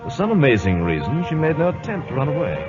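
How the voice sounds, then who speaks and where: An actor in an old radio drama playing a loyal, sober naval first officer who speaks and narrates plainly. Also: male voice, fairly high pitch, huge pitch swings, quick pace, over music. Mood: sober, grave. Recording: muffled old radio broadcast.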